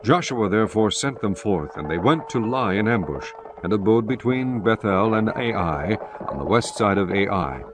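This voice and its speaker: A man narrating scripture in a measured, solemn, formal way. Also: lowish voice, lively intonation, music in the background.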